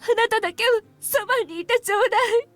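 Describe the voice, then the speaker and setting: A woman's voice: extremely high pitch, racing, fairly bright tone. An actress playing a grieving mother in a visual novel, crying as she pleads tearfully with her child. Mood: desperate, sobbing. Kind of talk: Japanese visual novel voice acting.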